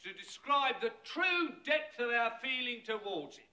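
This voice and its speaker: A pompous street soup seller. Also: male voice, extremely high pitch, very animated, a little slow, very noisy, exaggerated voice. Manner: pompous speechmaking with rough grammar. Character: cheerful male hot soup seller leading crowd. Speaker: street vendor giving a speech and singing. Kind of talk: film musical song and speech. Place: crowded snowy street set. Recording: film soundtrack with loud crowd and music.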